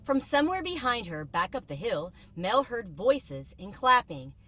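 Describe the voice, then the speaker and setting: A woman reading a children's book aloud from inside a car, with expressive, suspenseful dramatic narration like a teacher. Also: a little high, a little slow. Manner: expressive, suspenseful storytelling with varied volume.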